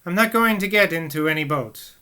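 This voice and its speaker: A man's voice: on the high side, lively intonation, a little fast, sharp tone, clear, resonant tone. A man doing a doting rustic old mayor. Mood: reassuring. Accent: rustic West Country English put on. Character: older male small-town mayor, fond and stubborn.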